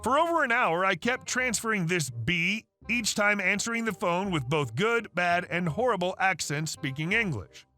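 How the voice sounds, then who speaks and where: A man narrates a first-person story in a steady, read-aloud manner. His voice sits high and sounds bright and forceful, with soft music playing quietly behind him. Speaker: narrator of online stories. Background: faint background music.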